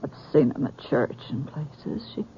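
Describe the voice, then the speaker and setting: An actress playing a grieving, forgiving American frontier woman in a radio drama, speaking in a grave, halting way. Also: a bass voice, expressive. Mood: uneasy. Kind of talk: 1950s radio drama acting. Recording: muffled, noisy old radio broadcast.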